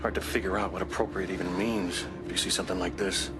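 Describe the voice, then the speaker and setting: A man's voice: low voice, fairly even intonation, fast, over loud music, natural voice. An actor playing a blunt, cynical male war veteran in a game drama, terse in manner. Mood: grim. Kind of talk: film drama acting.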